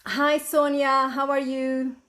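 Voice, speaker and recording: Middle-aged Maltese-born woman, business mentor, bright and eager live-stream delivery, slightly high voice. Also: flat delivery, slow pace. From Malta. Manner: upbeat, eager, repeats "super" a lot, greets viewers by name. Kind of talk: live-streamed talk to viewers. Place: at home at a computer.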